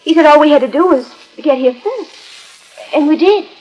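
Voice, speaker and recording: An old radio disc with faint surface noise carries a high-pitched young cowgirl. She is earnest and emotional, speaking with an American accent and dramatic hesitations. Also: lively intonation, brisk, darkish tone, lo-fi sound. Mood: crushed, pleading. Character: earnest, emotional young female rancher and trail boss. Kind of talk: 1940s radio acting.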